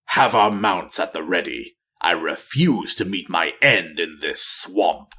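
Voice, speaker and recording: Man doing a cold, low, sneering dark commander. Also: lively intonation, slow, full character voice. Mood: stern, commanding. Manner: curt, contemptuous, commanding.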